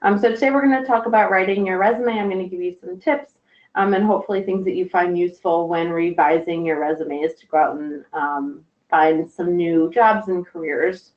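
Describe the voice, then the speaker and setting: A woman career counselor presenting a webinar, slightly hoarse, friendly, with frequent ums. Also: lowish voice, brisk, reverberant, highs cut off. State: a little hoarse. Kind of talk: presentation lecture.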